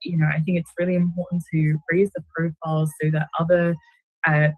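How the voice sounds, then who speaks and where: A woman's voice: low-pitched, warm tone, no room sound, rough recording. A woman who works as an astrophysicist, giving a testimonial about her career. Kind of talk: testimonial to camera.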